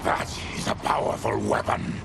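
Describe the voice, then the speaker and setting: An actor playing a grim, steadfast warrior in a video game, speaking in a terse, deliberate, dramatic way. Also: male voice, heavy background noise, natural voice. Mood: grave. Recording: loud cinematic music behind.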